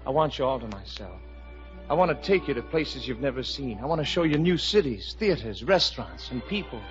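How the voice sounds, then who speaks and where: A man's voice: mid-range voice, expressive, fairly quick, lightly acted. An actor playing a charming, courtly young man in love, in a 1950s radio musical drama, speaking earnestly. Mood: ardent, pleading. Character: charming, courtly young riverboat gambler, an ardent suitor. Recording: muffled old radio broadcast with music.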